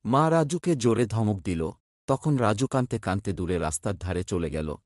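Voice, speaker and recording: Low-voiced man narrating a cartoon. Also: animated, moderate pace, darkish tone, no room sound, clean studio sound. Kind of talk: scripted cartoon story narration.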